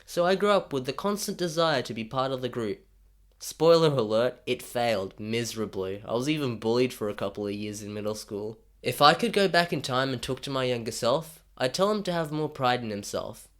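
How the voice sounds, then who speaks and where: A young Australian man narrates a scripted comic story about himself, wry and self-deprecating. His voice sits at an ordinary middle pitch but jumps up for punchlines and drops low for asides. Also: animated, brisk.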